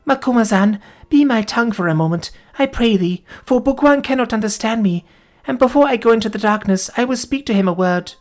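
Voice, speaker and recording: A woman's voice: a little high, expressive, a little fast, voice pushed up, lightly acted. A woman playing a dying young woman, gasping. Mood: tender, fading. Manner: gasping, tender, archaic formal speech. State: dying, faint. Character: young woman, loving and devoted, gasping as she dies.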